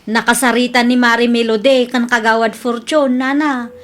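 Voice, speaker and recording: An actress plays an opinionated woman neighbour in an Ilocano comedy radio drama. Her voice is very high, with an Ilocano accent. She is chatty and gossipy, quick to chide and advise. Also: level tone, fairly bright tone, natural voice. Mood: chiding.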